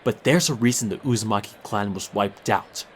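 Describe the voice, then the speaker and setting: A man playing a cold, proud clan leader and stern father in a fan audio drama, speaking in a measured, disdainful way. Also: lowish voice, slight background noise, lightly acted. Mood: cold contempt.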